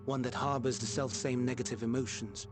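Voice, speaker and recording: An actor playing a composed, scholarly sage in a fantasy video game, with formal, measured phrasing. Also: male voice, mid-range voice, fairly even intonation, over music, natural voice. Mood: grave, analytical. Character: composed, scholarly male sage of an ancient people. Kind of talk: dramatic video game acting.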